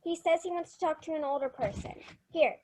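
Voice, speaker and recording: A woman acts out a little girl in a radio play. The voice is high and young. The child is inquisitive and stubborn, with an anxious edge. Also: brisk, darkish tone, close to an everyday voice. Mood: insistent, curious. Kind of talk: old-time radio drama acting.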